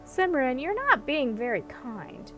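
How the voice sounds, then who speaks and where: A woman's voice: high-pitched, sing-song, measured pace, pushed and bright, faint music behind, exaggerated voice. A woman voicing a well-meaning young prince in a storybook, earnest, polite and a little hesitant. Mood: pleading.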